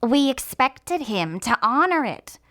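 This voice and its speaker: Snarling, animated league boss voiced by a woman. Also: sits high, slow pace, full character voice. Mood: defensive. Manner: snarling, blunt. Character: snarling, accusing male baseball league owner.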